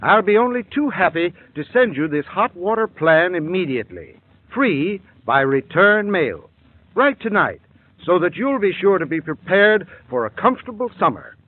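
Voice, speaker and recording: Earnest persuasive radio pitchman. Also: very animated, normal speed. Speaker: sponsor spokesman. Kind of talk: scripted radio advertisement. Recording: tinny dry old radio broadcast.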